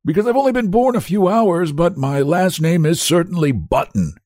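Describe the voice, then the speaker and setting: A man's voice: high voice, expressive, moderate pace, a little mellow, no room sound, studio quality, pitched above the performer's own voice. A man voicing a querulous, weary old man of seventy with a cracked, whining voice, in a storybook reading. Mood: weary complaining.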